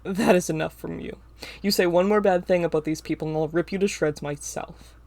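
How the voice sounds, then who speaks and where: A woman voicing a protective wolf-eared demon boy who speaks in a low, growling threat. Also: fairly even intonation, fairly quick, darkish tone, dry recording, lightly acted. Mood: threatening.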